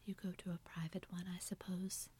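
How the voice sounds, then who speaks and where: A condescending English young lady speaks in a hushed, breathy whisper, as a woman reads her part aloud. Her tone stays level and low, patronizing without ever rising. This is a storybook reading done in soft ASMR style. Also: little pitch movement, normal speed.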